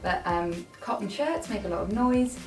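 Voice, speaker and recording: A woman's voice: medium pitch, animated, normal speed, distant mic. A woman from East London speaking earnestly about her audition. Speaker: audition finalist. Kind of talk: casual interview. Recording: roomy, off-mic, faint music.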